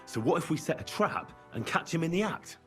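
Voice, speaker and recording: Lively, earnest young medieval investigator. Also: male voice, on the high side, brisk, faint music behind. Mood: scheming, resolute. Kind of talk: video game cutscene acting.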